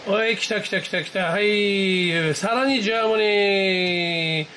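A man giving an excited, casual running commentary to viewers as a radio hobbyist, exclaiming and muttering to himself. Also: sits high, measured pace, slight background noise, poor audio. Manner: exclamatory, talking to himself and the screen. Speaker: amateur radio hobbyist narrating own video. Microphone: handheld camera mic, pointed at computer screen.

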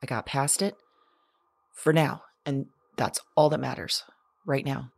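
A woman playing a lonely, haunted character who keeps an audio journal in a drama, with a halting, intimate, confessional delivery. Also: a bass voice, fairly even intonation, creaky, no room sound, exaggerated voice. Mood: weary, reflective. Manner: halting, hesitant, hushed reflective delivery.

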